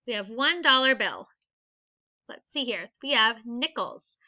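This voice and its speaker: A woman's voice: a little high, animated, unhurried, pushed and bright. A woman teacher reading aloud a lesson for young children in an instructional manner, explaining things step by step. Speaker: elementary school teacher.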